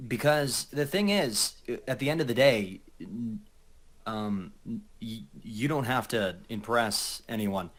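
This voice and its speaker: A man chatting casually in a halting, empathetic manner. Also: on the low side, a bit bright. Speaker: public speaker. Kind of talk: casual chat.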